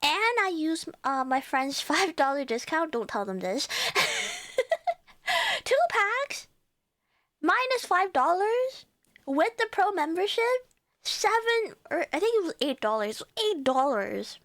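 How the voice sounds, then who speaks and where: A woman's voice: extremely high pitch, big pitch swings, takes it slowly, a bit bright, dry recording, studio quality. A young woman with a Cantonese accent chatting casually with her viewers as a livestreamer, chatty and giggling often.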